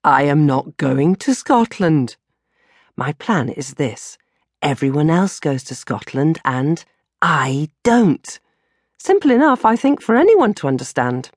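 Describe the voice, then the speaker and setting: Very animated woman reading a comic diary. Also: deep voice, slow, husky, dry recording, studio quality. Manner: dramatic, exasperated teenage-diary delivery. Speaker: audiobook narrator reading her own novel. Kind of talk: comic first-person diary narration.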